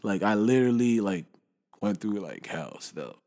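A man's voice: fairly low pitch, very animated, normal speed, mellow. A man in casual podcast chat, slangy and rambling, who laughs often. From Canada.

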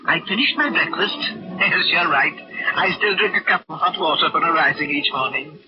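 An actress playing a genteel, lonely elderly widow in a radio drama, narrating in prim, precise, old-fashioned speech. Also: female voice, low voice, moderate pace, lightly acted. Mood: prim, reflective. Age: older. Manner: prim, proper, precise diction. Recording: muffled old radio recording with music behind.

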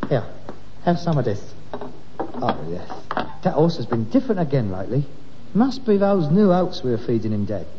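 A man's voice: medium pitch, very animated, moderate pace, long pauses, background noise, natural voice. Man acting a wry son, echoey recording. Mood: placating. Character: middle-aged son of rag-and-bone man, wry, placating. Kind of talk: 1960s British radio sitcom acting. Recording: muffled, reverberant old radio recording.

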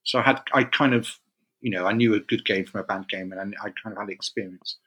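Middle-aged male interview guest from the UK; bright, rambling, self-correcting delivery. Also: on the low side, quick pace, slight background noise. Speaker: guest, games magazine editor. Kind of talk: casual interview chat.

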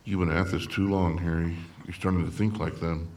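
A man's voice: low voice, level tone, brisk, darkish tone. An actor in a radio play voicing a wary younger man with a dry, questioning manner. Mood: wry.

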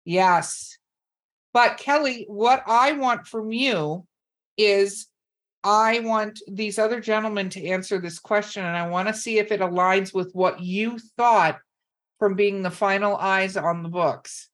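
A woman's voice: mid-range voice, measured pace, a bit bright. Female panel host, mid-range voice, informal and playful, banters between questions. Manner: teasing, informal. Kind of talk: casual, bantering panel moderation.

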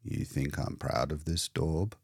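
A man's voice: a bass voice, unhurried, vocal fry, slightly rough, no echo, clean studio sound, pitched below the performer's own voice, heavily acted. A man reading a story, voicing a sardonic old painter who is gloomy and scornful. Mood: sour scorn.

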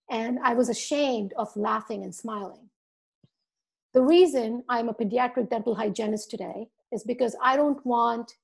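Earnest woman podcast guest, high voice. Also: gravelly. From India, raised in the U.S. Virgin Islands. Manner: earnest, speaks from the heart, acts out quoted dialogue. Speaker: podcast guest, dental marketing coach. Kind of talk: casual podcast conversation.

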